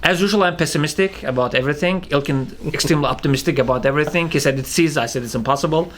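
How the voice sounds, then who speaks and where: A young man with a thick Russian accent, casually answering viewer questions as a channel co-host, halting with many fillers and restarts. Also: a little high, a bit bright, slight background noise. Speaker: channel co-founder hosting Q&A. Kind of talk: casual Q&A chat.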